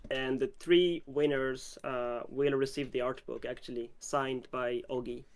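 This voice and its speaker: A man's voice: mid-range voice, fairly even intonation, measured pace. A man from Morocco hosting a casual question-and-answer livestream for a game publishing team. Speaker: stream host and game publisher team member.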